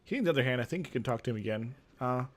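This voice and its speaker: A man's voice: medium pitch, lively intonation, very fast, slight background noise. A man hosting a gaming video, switching between dramatic reading of game text and casual, rambling commentary. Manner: hesitant, rambling asides between theatrical narration.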